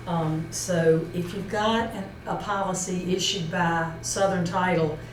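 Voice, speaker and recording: A woman's voice: lowish voice, steady tone, measured pace. A woman presenting an informal instructional talk, explaining step by step and checking points with a colleague. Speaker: seminar presenter. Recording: roomy echo, distant mic, faint noise.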